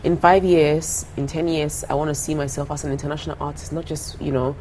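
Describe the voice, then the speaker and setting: A woman's voice: low voice, fairly even intonation, fairly quick, darkish tone, a little hiss or hum, low-quality recording. A young woman from Ghana, a singer being interviewed, talking earnestly, often repeating her words and laughing easily. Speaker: singer guest. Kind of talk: casual interview talk.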